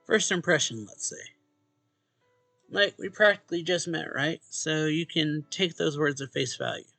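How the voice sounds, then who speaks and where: A man's voice: on the high side, normal speed, gravelly, pushed and bright, dry recording. A man casually reading a visual novel's dialogue and first-person narration aloud as a let's play host. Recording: game music behind the voice. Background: game music.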